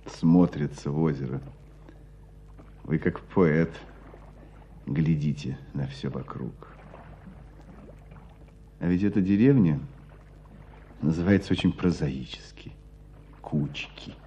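A man acting in a radio play as a knowing hunter who tells a story with deliberate emphasis. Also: extremely low pitch, dark tone, slightly rough, heavily acted. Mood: measured, wry. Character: knowing male hunter recounting an old court case. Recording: dull, muffled radio play sound.

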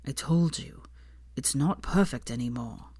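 A woman's voice: extremely low pitch, measured pace, darkish tone, husky, no echo, pitched below the performer's own voice, full character voice. A woman voicing an anxious, stubborn young man in love, self-conscious and easily flustered, in an audiobook-style story reading. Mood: miserable, sulky.

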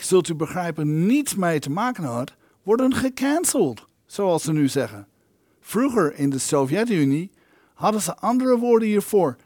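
An actor playing the indignant ghost of an elderly film maker in an audio drama, wordy, aggrieved and theatrical. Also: male voice, sits high, no echo, clean studio sound. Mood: indignant, bitter.

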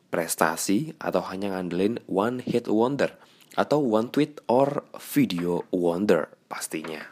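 Young male host, deep voice, slightly congested, casual confiding podcast chat. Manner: chatty, slangy, confiding. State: stuffy nose. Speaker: radio announcer and podcast host.

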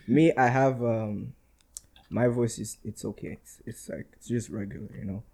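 Gravelly dark-voiced man teasing on a podcast. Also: medium pitch, moderate pace. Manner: teasing, relaxed slang. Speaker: podcast co-host. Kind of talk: casual chat.

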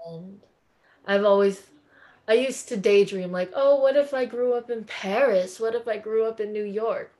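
A woman's voice: mid-range voice, normal speed, mellow. A woman from California, a podcast guest talking in an effusive, earnest way in a casual interview chat.

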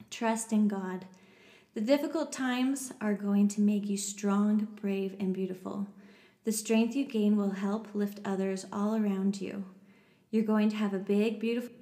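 A woman's voice: medium pitch, warm tone. A woman reading a heartfelt letter aloud in an earnest, reassuring way. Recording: echoey room.

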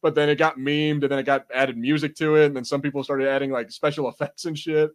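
A man's voice: a little high, level tone, fast talker. Rambling, sweary male podcast co-host. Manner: rambling, profane. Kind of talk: casual podcast banter about film and TV.

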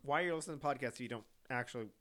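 A man's voice: medium pitch, rapid-fire, a bit bright. A man co-hosting a podcast, teasing and playful in casual banter, often laughing. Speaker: podcast co-host. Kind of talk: casual chat.

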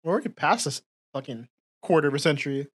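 A woman's voice: deep voice, animated, fast, breathy edge. A woman in her twenties with a slight Filipino accent, chatting informally as a podcast co-host and explaining her points emphatically. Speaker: podcast co-host and content creator. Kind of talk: casual podcast chat.

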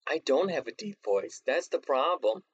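A man's voice: fairly high pitch, big pitch swings, moderate pace, husky, dry recording, muffled recording. A man playing a tabletop game, chatting casually and poking fun at himself. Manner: self-deprecating, rambling. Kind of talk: casual chat.

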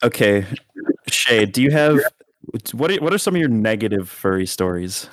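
A man with a fairly low voice hosts a casual podcast. He banters with his co-hosts and steers the talk with questions. The recording is dry and close. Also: sing-song, moderate pace, dry recording. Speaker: podcast host.

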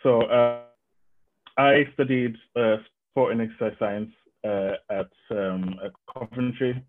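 A man's voice: medium pitch, fairly even intonation, slow pace, faint music behind. A man from Zimbabwe, a guest on a video-call podcast, answering with many hesitations and restarts. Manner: hesitant, full of ums and restarts. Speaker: guest occupational therapist. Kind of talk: casual interview answer. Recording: tinny phone-like call audio.

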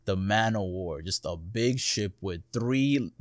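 A young American man with a low voice talks games in an easy, slangy way. He swears freely and acts out imagined lines as he goes. The sound is dry, with no room on it. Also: lively intonation, takes it slowly, no echo. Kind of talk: casual chat about video games.

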